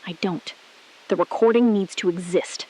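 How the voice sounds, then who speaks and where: A woman playing a calm, resolute astronaut-scientist in an audio drama, speaking in precise, measured, clipped sentences. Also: medium pitch, lightly acted, low-quality recording. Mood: coolly defiant. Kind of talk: scripted audio drama acting.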